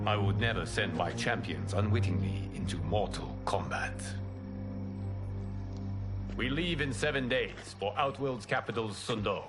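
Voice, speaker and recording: A man playing a solemn, noble lord who presides over a martial tournament in a game, speaking formally and with measured command. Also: on the low side. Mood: solemn, authoritative. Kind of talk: video game cutscene acting. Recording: loud cinematic music behind.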